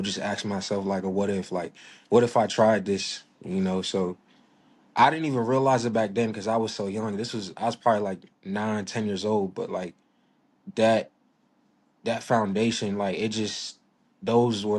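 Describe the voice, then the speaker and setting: A man in his twenties from Virginia, a musician talking casually in an interview, laid-back and full of fillers. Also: lowish voice. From Virginia, United States. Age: 20s. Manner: laid-back, many fillers, soft-spoken. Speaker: musician guest.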